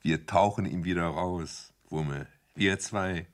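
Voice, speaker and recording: An actor playing a fussy but gentle father in a children's radio play. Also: low-pitched, takes it slowly. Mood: comforting. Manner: fussy and exasperated, then soft and comforting.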